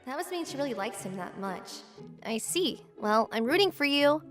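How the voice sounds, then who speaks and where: A woman playing a tsundere teenage girl in a romcom manga dub, expressive and huffy, a childhood friend in denial. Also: sits high, moderate pace, soft background music. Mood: quietly conflicted.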